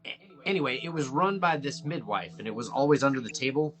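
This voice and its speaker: An actor reading a laid-back, guarded small-town policeman in a film script, casual, halting and folksy. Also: medium pitch, animated, natural voice. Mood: guarded, confiding. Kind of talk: table read of a film script.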